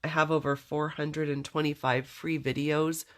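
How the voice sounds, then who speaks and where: A woman from Southern California hosting a craft livestream, chatting warmly with viewers and greeting them by name, her nose stuffy from a cold. She talks in a friendly, easygoing way and laughs often between remarks. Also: low voice, little pitch movement, normal speed.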